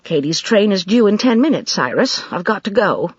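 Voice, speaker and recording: A woman reading an audiobook, voicing a calm, sensible older wife who speaks firmly and reasonably. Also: medium pitch, normal speed, breathy edge, no room sound, voice pushed up. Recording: dry, slightly dull studio recording.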